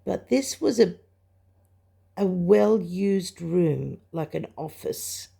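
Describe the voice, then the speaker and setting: An older woman shares a personal testimony in a croaky voice full of heavy fry. Her delivery is slow and halting, with reflective pauses. The tone of the voice is soft-edged and mellow, at an ordinary pitch for a woman. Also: lively intonation. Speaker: religious vlogger sharing a testimony. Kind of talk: personal spoken testimony, recounting a dream.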